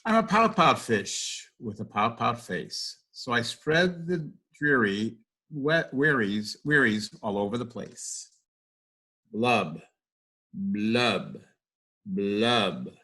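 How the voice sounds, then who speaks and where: A man's voice: mid-range voice, expressive, slow, pushed and bright. A man voicing a gloomy, pouting fish from a picture book, in a mournful, resigned sing-song. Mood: glum. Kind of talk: read-aloud picture book.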